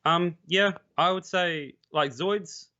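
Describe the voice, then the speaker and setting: A man's voice: high-pitched, slow, sharp tone. A man, a pro esports player, talking casually and bluntly as a podcast guest, with frequent restarts and the odd swear. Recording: muffled lo-fi mic.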